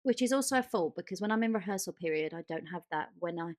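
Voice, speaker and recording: A woman's voice: fairly low pitch, lively intonation, fast talker, no room sound, studio quality. A woman co-hosting a podcast in casual chat, speaking informally and with emphasis. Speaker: podcast co-host.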